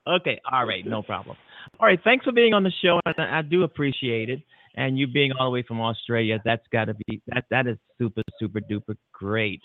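A man's voice: medium pitch, animated, a little fast, no room sound. A man hosting a talk show, friendly and chatty, laughing easily and repeating his words. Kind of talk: casual interview hosting. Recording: dry, phone-like narrow sound.

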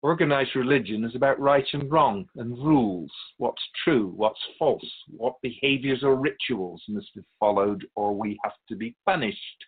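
A man playing a wise spirit who keeps souls in a radio drama, teaching in measured explanations with pointed asides. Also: medium pitch, husky, narrow, tinny audio. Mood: patient, instructive. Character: ancient male spirit Keeper of Souls, wise storytelling teacher. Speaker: interviewed guest. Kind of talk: scripted radio drama dialogue.

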